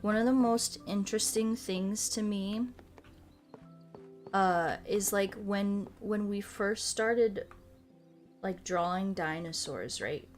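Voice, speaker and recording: A woman's voice: mid-range voice, slow. A woman streamer chatting casually and enthusiastically, rambling off on tangents and swearing freely. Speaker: video game streamer. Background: background music.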